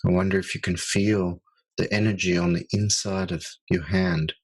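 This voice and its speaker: A man leading a guided meditation, speaking slowly and softly with long pauses. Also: sits low, steady tone, slightly rough. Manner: slow, soft-spoken, with long pauses.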